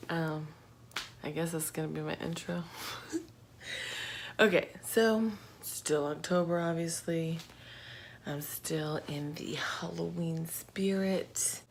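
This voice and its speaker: A woman with a low voice tells true crime stories at a slow pace. She is informal and conversational, dropping in slangy asides. There is faint noise behind her. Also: creaky. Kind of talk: casual true crime storytelling.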